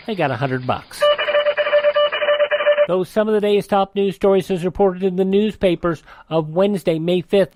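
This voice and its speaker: American male newsreader, slightly high voice, scripted radio host delivery, faint noise behind. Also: darkish tone, poor audio. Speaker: radio host reading historical news. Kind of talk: scripted newscast reading.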